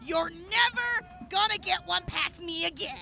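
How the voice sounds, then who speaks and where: Woman acting a brash, very high-pitched knife fighter. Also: measured pace, vocal fry, heavily acted. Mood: exasperated, boastful. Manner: cackling, blunt, swearing. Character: brash, tough young female knife-fighting mentor, boisterous. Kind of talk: audio drama acting.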